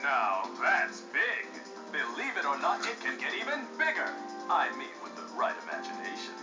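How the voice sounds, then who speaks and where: A high, wildly swooping voice for a flamboyant male sports show host in a cartoon game. He announces with showy, bloodthirsty glee and a big ego. The audio is a bit dull, with music playing behind. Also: huge pitch swings. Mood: smug, scheming. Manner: showy, hyped, drawn-out delivery. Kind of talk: over-the-top sports broadcast announcing.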